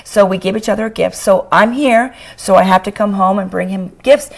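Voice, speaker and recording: Canadian woman guest with a hoarse voice. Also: mid-range voice, a little fast. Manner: enthusiastic, chatty. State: losing her voice. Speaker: author guest. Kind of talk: talk show interview. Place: TV studio.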